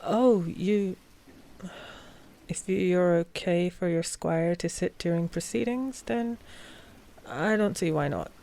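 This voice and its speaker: A woman playing a polite, frazzled vampire coven leader in an audio roleplay, speaking formally with halting hesitations. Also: fairly low pitch, creaky, dark tone. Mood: flustered, courteous. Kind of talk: scripted audio roleplay drama.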